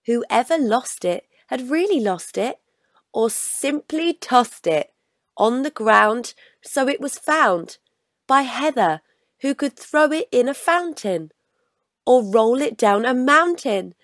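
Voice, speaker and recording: Slow-paced, higher-voiced woman reading a storybook. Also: lively intonation, fairly bright tone, no echo, studio quality. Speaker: storybook reader. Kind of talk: scripted children's story read-aloud.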